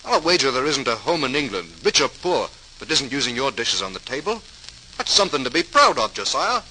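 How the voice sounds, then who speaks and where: An actor playing a hearty, practical, admiring brother with emphatic delivery in an old-time radio drama, on a noisy vintage recording. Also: male voice, mid-range voice, very animated, fairly quick, pushed and bright. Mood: insistent.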